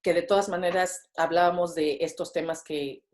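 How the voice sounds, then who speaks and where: A woman's voice: on the low side, steady tone, normal speed, a little mellow. A Mexican woman in her thirties chatting as a podcast guest, with lots of fillers and tag questions. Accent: Mexican Spanish. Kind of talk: casual interview conversation.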